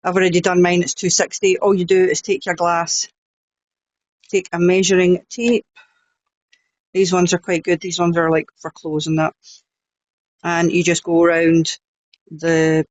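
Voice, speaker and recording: A woman's voice: on the low side, flat delivery, a little slow, long pauses, no echo. Chatty Scottish woman, dull sound. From Scotland. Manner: chatty and blunt, with frank asides. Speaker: crafting tutorial host. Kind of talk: casual step-by-step tutorial.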